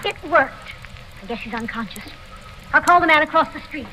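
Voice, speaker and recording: An actress in an old radio drama playing a mild, politely unruffled spinster sister with a deadpan delivery. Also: female voice, high-pitched, fairly quick. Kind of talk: 1940s-50s radio drama acting. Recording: vintage radio recording, loud hiss.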